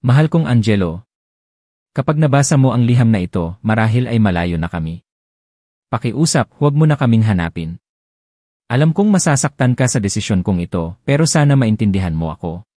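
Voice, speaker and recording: Sad young mom saying goodbye in a letter, a man voicing her, soft and low, dry close sound. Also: big pitch swings, brisk, no echo. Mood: sorrowful. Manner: soft, sorrowful, tender. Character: sad self-sacrificing young mother writing a farewell letter.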